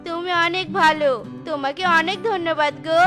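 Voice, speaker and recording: Lady doing a friendly talking bamboo for a kids cartoon, high voice, music playing behind. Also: steady tone, normal speed. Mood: grateful, generous. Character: kindly talking bamboo plant, grateful and generous. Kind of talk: children's cartoon dub.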